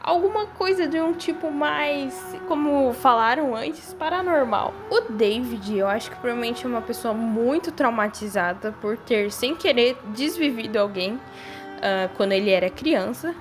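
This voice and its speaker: High-pitched young Brazilian woman, casual commentary. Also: lively intonation, normal speed. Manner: informal, speculative, conversational. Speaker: video creator. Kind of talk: casual horror series commentary and theorizing. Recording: voice-over with music behind it. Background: background music.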